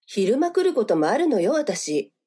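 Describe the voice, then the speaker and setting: A woman's voice: fairly high pitch, big pitch swings, a little slow, warm tone, breathy edge, full character voice. An actress playing a cool, teasing, self-assured senior businesswoman in a voice drama. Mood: composed, teasing. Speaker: voice actor. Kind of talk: voice drama dialogue. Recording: music behind the voice.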